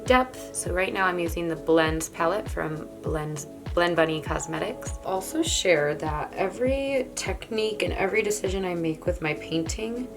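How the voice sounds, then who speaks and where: A woman with a raspy, hoarse voice, chatting casually as she narrates her body painting. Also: lowish voice, expressive, normal speed, few pauses, over music. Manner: chatty, rambling, laughs between thoughts. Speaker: body paint artist and vlogger.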